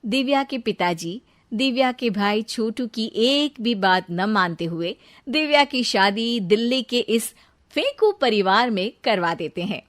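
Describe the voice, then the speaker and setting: Slow-paced woman storyteller. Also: a little high, lively intonation. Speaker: narrator. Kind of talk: scripted storytelling narration.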